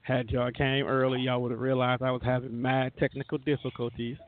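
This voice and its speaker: A man's voice: medium pitch, little pitch movement, brisk, a little hiss or hum. Man chatting on tinny phone-like audio. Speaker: radio show host. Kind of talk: casual group chat. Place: internet radio show. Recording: narrow tinny phone-like sound.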